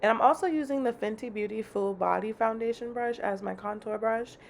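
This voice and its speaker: A young woman chatting casually through a makeup tutorial, sounding congested and slightly raspy. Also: fairly high pitch, a little fast, slight background noise. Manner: chatty, upbeat, slangy. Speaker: beauty vlogger.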